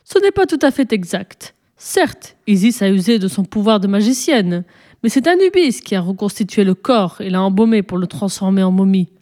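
A woman's voice: medium pitch, very animated, moderate pace, warm tone, natural voice. Woman voicing a pompous, imperious ancient pharaoh mummy. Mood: solemn, lecturing. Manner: pompous, majestic, declamatory. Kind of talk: storybook reading, character dialogue.

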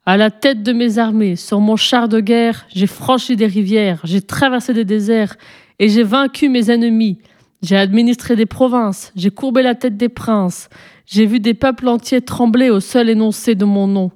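An ancient, imperious pharaoh played by a woman reader holds forth from a storybook. The delivery is pompous and solemn, declaimed with majesty in a mellow tone. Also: medium pitch, expressive, normal speed, natural voice. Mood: proud, boastful. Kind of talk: storybook reading, character dialogue.

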